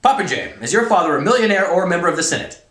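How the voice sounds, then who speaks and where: Storybook colonel, played by a man: loud exasperated bully, bright strained pitch, echoey room. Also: big pitch swings, racing. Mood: sneering, menacing. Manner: shouting, exasperated, badgering interrogator. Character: furious, bullying, big military colonel barking at cadets.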